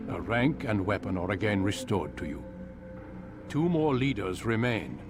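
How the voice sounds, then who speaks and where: A deep, lecturing assassin master. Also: male voice, animated. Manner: measured, lecturing, riddling. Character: commanding, evasive old master of an assassin order. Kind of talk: video game cutscene dialogue. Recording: music behind the voice.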